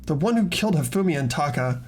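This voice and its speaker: A man's voice: a little high, animated, fast, a little mellow, a little hiss or hum. A man narrating a video game playthrough, reading dramatically and chatting casually.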